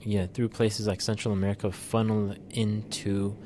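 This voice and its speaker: Low-voiced man co-hosting a talk show. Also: level tone, moderate pace, warm tone, slight background noise. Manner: thoughtful, many fillers and restarts. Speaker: podcast co-host. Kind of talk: casual political discussion.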